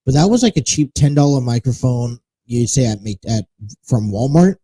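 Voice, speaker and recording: Guy hosting his own little talk show, super mellow dark tone, rambles and restarts a lot. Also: medium pitch, lively intonation, normal speed. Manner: rambling, self-correcting, chatty. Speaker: host. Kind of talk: casual solo talk show chat. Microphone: studio mic with monocaster setup.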